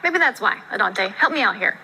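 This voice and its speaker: A woman with an American accent and a very bright, forceful voice hosts a live news discussion. Her delivery is conversational and a little wry, at a middle pitch. There is clear noise behind her voice. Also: lively intonation, brisk, poor audio. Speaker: TV news show host.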